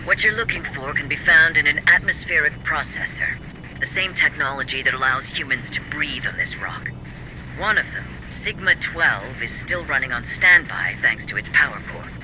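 Guy acting a slick company director in a game, formal and sure of himself, rumbly noise behind. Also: lively intonation, natural voice. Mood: smug, assured. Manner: formal, self-assured, deliberate. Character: smooth, calculating male corporate director. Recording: clear rumbling background noise.

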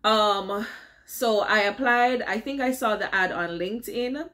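A woman's voice: medium pitch, measured pace, fairly bright tone. A middle-aged woman with a Jamaican accent, chatting through a casual advice vlog from a home office and checking in with her viewers as she goes. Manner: chatty, keeps checking in with "all right?". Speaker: vlogger. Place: home office with window blinds.

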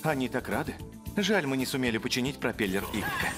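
An actor playing a concerned, practical robot-team member in a cartoon dub, measured and serious. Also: male voice, medium pitch, lively intonation, lightly acted. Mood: thoughtful. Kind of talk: animated cartoon dub acting. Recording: music behind the voice.

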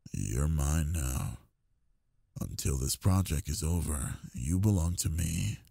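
A man acts out a brooding young goth bully for an intimate audio roleplay. He is possessive and teasing, speaking in a slow, deep, husky drawl, very close and dry. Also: dark tone, no echo, full character voice. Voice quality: low, gravelly, husky. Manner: slow, domineering, murmuring drawl.

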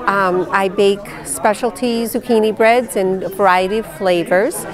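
A woman's voice: medium pitch, steady tone, measured pace, music in the background, low-quality recording. A woman who owns a bakery, describing her breads in a casual, slightly hesitant way. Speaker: bakery owner. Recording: crowd chatter behind.

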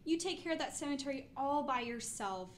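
A woman's voice: high-pitched, brisk, slight background noise. An actress playing a polite but firm mother of two in an audio horror drama. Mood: politely apologetic. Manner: polite and scolding, expressive. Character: stern, neighborly, apologetic mother of two.

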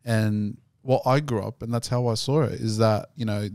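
Laid-back Australian co-host, dry sound. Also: male voice, fairly low pitch, fairly even intonation, normal speed, a little mellow, clean tone, no echo. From Sydney, Australia. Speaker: podcast co-host. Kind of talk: casual podcast chat.

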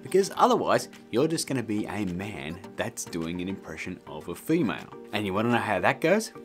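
Man, thirties, tutorial host, lowish pitch, animated intonation, casual and jokey, light background music. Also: fairly quick. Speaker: host of a video tutorial show. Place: small indoor studio room. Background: faint music.

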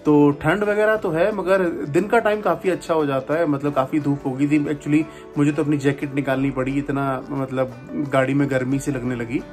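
A man in his thirties vlogging about a road trip, talking to a phone held at arm's length and pausing often with "uh". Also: medium pitch. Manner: chatty, with frequent "uh" pauses. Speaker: travel vlogger. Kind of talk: casual vlog narration to camera. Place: hotel room, indoors.

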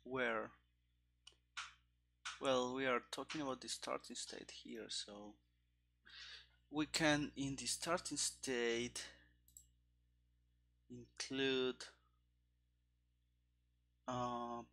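A man in his thirties giving casual live coding commentary, haltingly thinking aloud into a headset mic. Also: medium pitch, fairly even intonation, vocal fry, sharp tone. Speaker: programmer live-streaming game development. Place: small room indoors at a desk. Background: keyboard typing and clicking.

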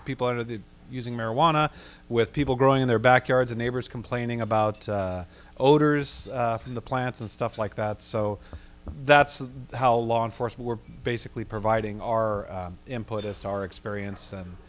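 Matter-of-fact police official at a panel. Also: male voice, medium pitch, steady tone, normal speed. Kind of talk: panel presentation. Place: large wood-paneled banquet room. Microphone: handheld microphone.

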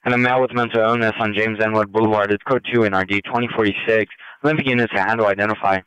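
Very clear, low-voiced male police dispatcher. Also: steady tone, racing, a bit bright, dry recording. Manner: procedural. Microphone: radio dispatch channel. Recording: muffled police scanner radio.

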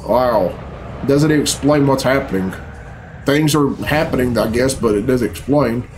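A man's voice: medium pitch, moderate pace, background noise. A man in his thirties giving casual, off-the-cuff reaction commentary in a blunt, grumbling, puzzled way, swearing freely. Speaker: reaction video host. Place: small furnished room at home. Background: game cutscene music and sound.

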